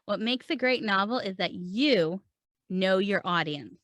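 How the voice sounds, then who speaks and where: A woman's voice: mid-range voice, animated, unhurried, fairly bright tone, dry recording, studio quality. A woman podcast host coaching writers in a conversational, emphatic way, putting questions and points straight to the listener. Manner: emphatic, rhetorical, addresses listener directly. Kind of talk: conversational instructional podcast talk.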